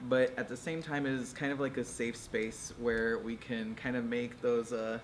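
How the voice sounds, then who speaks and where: A man's voice: mid-range voice, level tone, moderate pace, slight background noise. A young American man, a volunteer, speaking casually in an interview testimonial. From Arizona, United States. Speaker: Peace Corps volunteer. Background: music.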